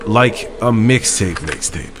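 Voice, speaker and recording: A man's voice: fairly low pitch, expressive, slow pace, noisy background. A man hosting a music video series, delivering scripted narration.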